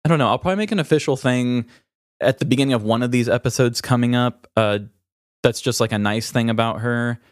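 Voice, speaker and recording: A man's voice: medium pitch, fast, dry recording, studio quality. A man hosting a podcast in a candid, unscripted chat, speaking hesitantly and informally while emotional from grief. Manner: hesitant, self-revealing, informal. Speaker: podcast host.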